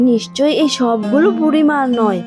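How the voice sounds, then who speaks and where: A woman's voice: sits high, a little fast, music in the background, close to an everyday voice. A woman voicing a greedy, envious tigress, a landlord's wife, gossipy and scheming, in a cartoon fable. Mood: sly. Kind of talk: Bengali cartoon fable dubbing.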